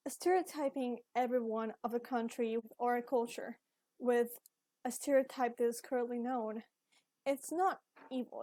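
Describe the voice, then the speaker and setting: A young woman from Ecuador, a Spanish speaker with an Ecuadorian accent, giving an earnest, measured talk about her own life as a university student. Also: fairly high pitch, warm tone, no echo. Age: young adult. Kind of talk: scripted personal video essay.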